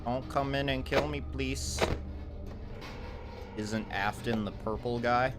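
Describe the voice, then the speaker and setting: Playful male game streamer over loud music. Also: mid-range voice, fairly even intonation, slow pace. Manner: slangy, playful. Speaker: game livestreamer. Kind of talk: casual livestream gaming commentary.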